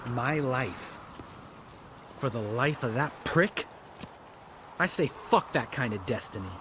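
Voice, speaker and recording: An actor playing a cynical, bitter monster hunter in a fantasy game, speaking in a blunt, sardonic way. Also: male voice, mid-range voice, expressive, slow pace, noisy background.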